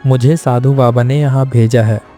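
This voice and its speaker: Guy playing a brave honest hero, soft mellow tone, medium pitch, music under it. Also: steady tone, natural voice. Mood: earnest pleading. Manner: earnest, deliberate. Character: brave, earnest, polite young hero. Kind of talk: animated moral story acting.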